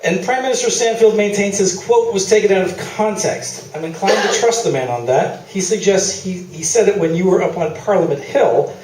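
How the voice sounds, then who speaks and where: A man's voice: sits high, moderate pace, hardly any pauses, a little mellow, recorded from a distance, lightly acted. A man playing a sardonic, needling press interviewer with a dry wit in a live stage radio play. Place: small theatre, live performance. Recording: echoey, noisy theatre recording from afar.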